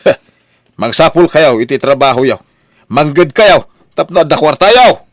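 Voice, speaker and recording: A man's voice: on the high side, expressive, unhurried. A man playing a stern, tight-fisted father in an Ilocano audio drama. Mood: stern, scolding.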